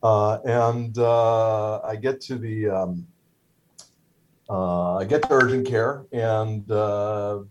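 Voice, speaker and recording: A man's voice: fairly low pitch, slow pace, lo-fi sound, background noise. A middle-aged man whose first language is Hebrew, telling a story casually with many fillers, restarts and wry humor. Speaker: guest, stroke survivor.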